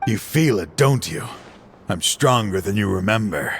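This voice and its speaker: An ageing ex-lawman turned game villain, bitter and full of regret. His voice is low and very slow, weary and brooding, with faint noise behind it. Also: animated, vocal fry. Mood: menacing. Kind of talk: dramatic video game voice acting.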